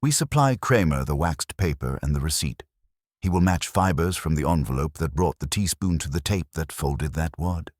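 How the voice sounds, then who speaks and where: Man voicing an imperious, very deep-voiced detective genius. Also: animated, normal speed, a little mellow, no echo, clean studio sound. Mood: coolly confident. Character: imperious, eloquent male detective genius, coolly confident. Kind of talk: audiobook character dialogue.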